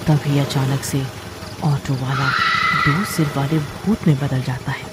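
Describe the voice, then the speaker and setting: A man narrating a scripted horror story dramatically, with suspenseful, drawn-out phrasing. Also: mid-range voice, fairly even intonation, heavy background noise.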